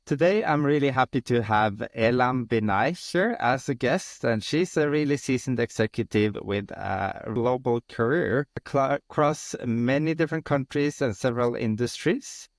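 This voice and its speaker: A man with a mid-pitched voice speaks as a podcast host. He introduces and questions his guest in a conversational way, recorded dry with little room sound. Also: normal speed, few pauses, no echo.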